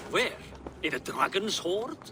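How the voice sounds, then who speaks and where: A man playing a dutiful, caring Norse husband in a video game, speaking gently and formally. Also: sits high, sing-song, measured pace, background noise. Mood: dry, helpful. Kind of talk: dramatic video game dialogue.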